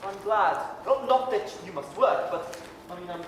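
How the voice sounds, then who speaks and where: A man playing a shy, smitten young apprentice, halting and courteous, in a staged 1930s-style radio drama. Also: sits high, lively intonation, fairly bright tone. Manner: halting, flustered, courteous stammer. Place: performing arts center stage. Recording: echoey hall, distant, faint noise.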